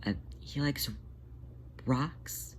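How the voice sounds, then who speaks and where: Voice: mid-range voice, extremely slow, slight background noise. A narrator voicing a worried, caring elderly female cat healer in hesitant, questioning dialogue for a story reading. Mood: worried, unsure. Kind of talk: amateur audiobook character dialogue.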